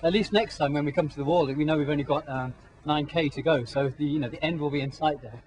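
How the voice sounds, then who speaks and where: Male runner, raised pitch, conversational and upbeat, outdoors. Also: fast talker, rough recording. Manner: enthusiastic, conversational. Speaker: marathon runner. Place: outdoors at a race.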